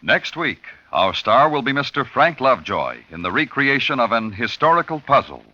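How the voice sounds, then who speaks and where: An American man announcing a suspense radio show in a formal, dramatic, deliberate 1950s style on a vintage recording with music behind him. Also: medium pitch, very animated, dull audio.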